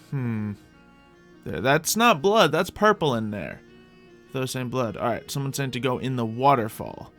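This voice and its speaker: Male game host, casual and rambling, repeats himself, mid-pitched voice, music underneath. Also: pushed and bright. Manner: rambling, repeats his own phrases. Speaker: host of a Minecraft roleplay video. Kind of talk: casual gameplay commentary, talking to viewers in light roleplay.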